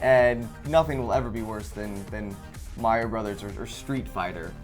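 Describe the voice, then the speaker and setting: A man's voice: on the low side, normal speed, faint music behind. A man in his twenties hosting a casual gaming chat, rambling with laughing asides. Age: 20s. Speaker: host.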